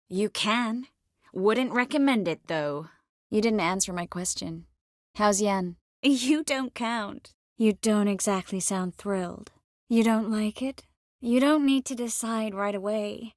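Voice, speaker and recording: An actress playing a poised, caring yet firm sorceress in scripted video game dialogue. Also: female voice, mid-range voice, no echo, clean studio sound, close to an everyday voice.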